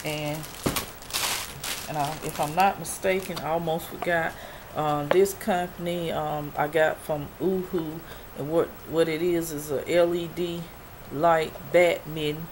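A woman's voice: deep voice, fairly even intonation, unhurried. A woman in her forties showing off mail she received, chatty and rambling with many ums, her voice a little worn. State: losing her voice. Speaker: product review vlogger. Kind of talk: casual show-and-tell haul video. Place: small dim bedroom, indoors. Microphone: built-in webcam mic at arm's length. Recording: noisy webcam recording.

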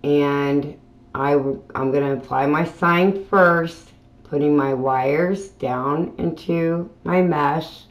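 A woman hosting a craft channel, talking through a tutorial in a casual voiceover with plain, unhurried step-by-step explaining. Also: low voice. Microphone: voiceover mic.

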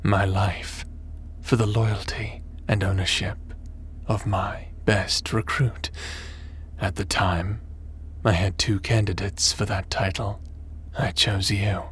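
Noise sits behind a low male voice: a man acting as a cold, power-hungry crime lord from a sci-fi audio drama. He is smug and theatrical, drawing out every measured threat at a very slow pace. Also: little pitch movement, a bit bright. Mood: cold, unapologetic.

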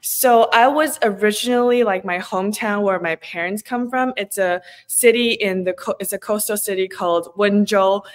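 A woman's voice: mid-range voice, moderate pace, few pauses. A woman in her twenties from China, a guest chatting casually on a video call from her home, talking with many fillers and frequent laughs. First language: Chinese. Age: 20s. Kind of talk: casual interview chat. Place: home room with kitchen shelves. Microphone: video call, laptop camera.

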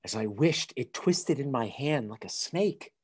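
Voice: mid-range voice, animated, a little slow, husky. An actor in a radio play voicing a mild, credulous older father and husband. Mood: shaken. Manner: earnest, mild.

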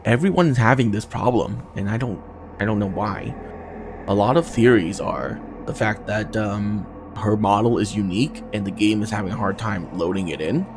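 A man's voice: fairly low pitch, moderate pace, over music. A man casually commenting on his video game run, with chatty and exasperated asides. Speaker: gaming channel host.

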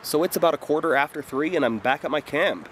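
A man's voice: on the high side, fast. Chatty man outdoors in the wind. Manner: relaxed, chatty, lots of fillers, excited exclamations. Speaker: outdoors vlogger. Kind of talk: casual self-filmed narration. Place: outdoors by a mountain lake, windy. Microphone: action camera on body or at arm's length.

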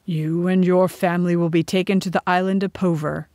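A woman voicing a calm, victorious rebel king in a storybook, speaking in a measured, stern and matter-of-fact way. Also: fairly low pitch, no echo, close to an everyday voice. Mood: calm, firm.

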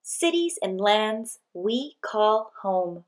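Woman, medium pitch, very unhurried pace, expressive storybook read-aloud, deliberate pauses between phrases.

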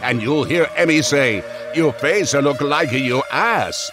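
An actor playing a bombastic, theatrical narrator of an old-time radio serial. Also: male voice, on the high side, takes it slowly, over music. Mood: breathless suspense. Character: melodramatic male serial announcer teasing cliffhangers. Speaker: narrator and announcer.

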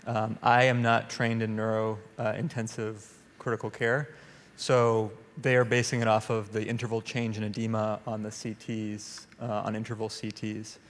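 Male physician panelist, thirties, flat intonation, mid pitch, echoing hall. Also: normal speed, a little hiss or hum. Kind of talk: explanatory panel answer. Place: large conference hall stage. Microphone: handheld microphone. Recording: reverberant hall sound.

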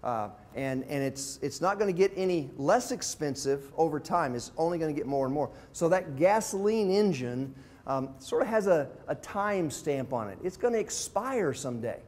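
A man's voice: a little high, expressive, normal speed, darkish tone. An older man, around sixty, a pastor giving an informal, plain-spoken teaching talk to a group, explaining things step by step. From from abroad, visiting South Africa. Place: indoor classroom with whiteboard and screen. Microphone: lapel mic.

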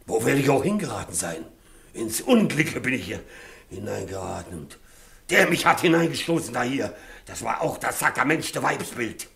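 An actor playing an ageing, stubborn, embittered stable hand with a rustic grumbling manner in a 1960s radio play. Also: male voice, mid-range voice, very animated, normal speed, gravelly, a bit bright. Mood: bitter. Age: older.

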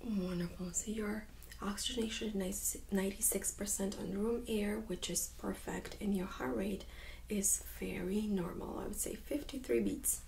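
Young woman, soft-spoken ASMR examiner, whispery, gentle, creaky, faint background noise. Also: medium pitch, normal speed. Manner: hushed, gentle, often whispery. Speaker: host playing a medical examiner. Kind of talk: soft-spoken ASMR medical role-play. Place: small indoor room set up as exam room.

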